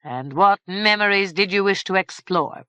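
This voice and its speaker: A woman voicing a stately, grave fairy king who speaks in a measured, formal way. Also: mid-range voice, bright, forceful tone, clear, resonant tone, dry recording, pitched above the performer's own voice, natural voice. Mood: stern.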